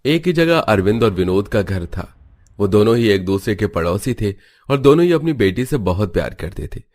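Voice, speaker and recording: A man's voice: lowish voice, very animated, moderate pace, darkish tone. A man giving scripted storytelling narration for a cartoon.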